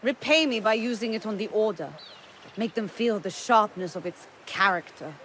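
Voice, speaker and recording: A woman's voice: medium pitch, moderate pace, noisy background, lightly acted. An actress playing a proud, wry weaponsmith in a video game, teasing and assured in her delivery. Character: proud, wry woman weaponsmith of an assassin camp. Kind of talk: dramatic video game acting.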